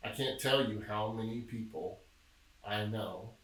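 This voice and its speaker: A middle-aged man from Memphis, Tennessee, talking casually as a podcast guest and telling stories with a joking, relaxed manner. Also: on the low side, a little slow. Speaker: podcast guest, film producer. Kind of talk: casual interview chat. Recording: echoey room, distant mic.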